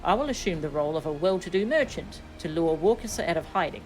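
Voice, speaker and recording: A woman voicing a formal, resolute young nobleman from a fantasy game in a measured, commanding way. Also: fairly low pitch, animated, a little mellow, slight background noise, close to an everyday voice.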